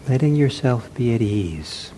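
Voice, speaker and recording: Slow, mellow man guiding a meditation. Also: mid-range voice, expressive, slight background noise. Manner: gentle, soothing, long pauses between short phrases. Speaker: meditation teacher. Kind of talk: guided meditation instruction.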